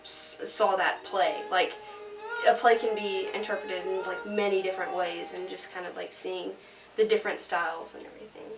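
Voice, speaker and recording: A teenage girl reflecting casually in an interview, halting as she searches for words. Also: fairly low pitch, fairly even intonation, vocal fry. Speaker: high school student actor. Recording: echoey room, distant mic, music over.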